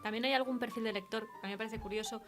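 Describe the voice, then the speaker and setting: A woman's voice: a little high, steady tone, rapid-fire, music in the background. A woman with a Spanish accent from Spain, interviewing in a casual, chatty and agreeable way as a radio host.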